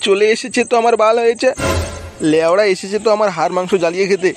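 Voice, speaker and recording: A man's voice: high voice, fast, soft background music, lightly acted. A man voicing an irritable, foul-mouthed father who scolds crudely in a comic cartoon dub. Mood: exasperated, scolding.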